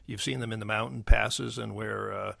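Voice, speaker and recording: Older man, gravelly low voice, halting, lots of fillers, dry studio sound. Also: fairly even intonation, a bit bright, no echo. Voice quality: gravelly bass voice. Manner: halting, many fillers and restarts. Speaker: guest, nuclear engineer. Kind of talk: explanatory interview talk. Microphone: studio mic.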